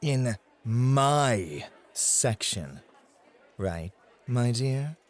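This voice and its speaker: A man's voice: mid-range voice, big pitch swings, extremely slow. A man playing a suave, possessive nightclub host in an ASMR roleplay, pointedly polite and sardonic as he guards his territory. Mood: smug, territorial.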